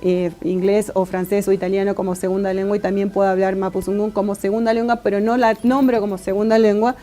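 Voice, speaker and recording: A woman with an Argentine accent answering interview questions thoughtfully, with many pauses and restarts. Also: medium pitch, little pitch movement, mellow. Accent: Argentine Spanish. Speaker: interviewee, political scientist.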